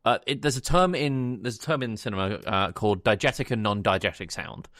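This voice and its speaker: A man with a fairly low voice co-hosts a film review podcast. He explains things in a casual, conversational way, often restarting as he searches for phrasing. The recording is dry and free of echo. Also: fairly quick, fairly bright tone. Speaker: podcast co-host.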